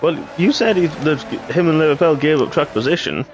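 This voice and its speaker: A man giving live play-by-play commentary on a sim racing league, hoarse and clearing his throat after losing his voice. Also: on the high side, a little fast, a little hiss or hum. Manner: excitable play-by-play, rising sharply at incidents. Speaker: race commentator.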